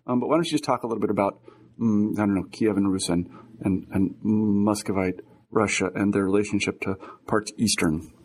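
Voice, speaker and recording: A man's voice: on the low side, steady tone, fairly quick, a little mellow. A man interviewing a guest in a casual, conversational way, feeling his way through his questions with hesitant, rambling phrasing. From United States. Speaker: interviewer, history podcast host; university teacher.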